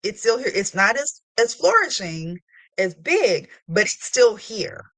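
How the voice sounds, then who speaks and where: Lady podcast host, playful n effusive, casual chat, mid pitch, dry close sound. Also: sing-song, a little slow, fairly bright tone, no room sound.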